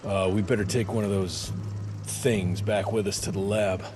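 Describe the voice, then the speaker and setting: A man's voice: on the low side, fairly even intonation, normal speed, background noise, close to an everyday voice. An actor playing a tough young male hunter who is curt, hot-headed and swears. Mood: tense. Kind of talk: game cutscene acting. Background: music score.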